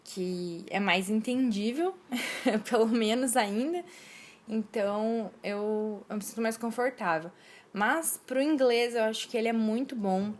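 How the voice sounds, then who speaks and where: A woman's voice: a little high, measured pace. A young woman hosting a casual solo podcast, talking earnestly with frequent hesitations and restarts. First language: Portuguese. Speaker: podcast host.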